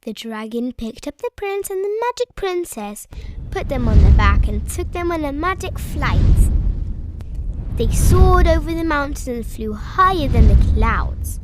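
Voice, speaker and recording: Woman voicing an excitable high-pitched little girl piglet. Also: measured pace, very noisy. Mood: dramatic. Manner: bubbly, eager.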